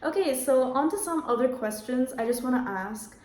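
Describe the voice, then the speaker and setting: A woman's voice: high voice, animated, moderate pace, darkish tone, reverberant. A young woman asking interview questions in a friendly, chatty way, with lots of "like" and "okay".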